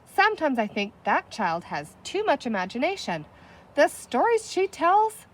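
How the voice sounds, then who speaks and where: A woman's voice: sits high, huge pitch swings, a little slow, voice pushed up, full character voice. Woman playing a stern, sceptical mother. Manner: severe, scolding. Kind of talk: children's storybook character voice.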